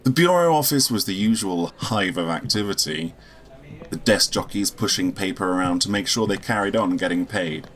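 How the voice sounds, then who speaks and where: An actor playing a cocky, weary interplanetary spy in a sci-fi audio drama, wry and deadpan. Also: male voice, sits low, moderate pace. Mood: wry, self-assured. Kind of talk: noir-style narration and dialogue in sci-fi audio drama.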